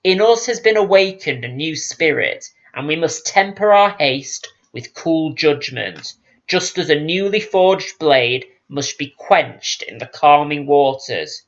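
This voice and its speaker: A man's voice: on the high side, animated, unhurried, a bit bright, highs cut off. Man as an earnest, appeasing elf mage. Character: conciliatory, wise male elf mage.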